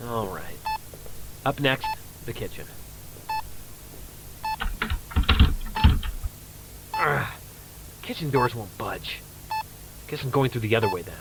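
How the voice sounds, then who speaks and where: A man's voice: medium pitch, expressive, a little slow, very noisy. A man playing a brash, casual amateur ghost hunter in found-footage horror, muttering to himself. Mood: grossed out, annoyed.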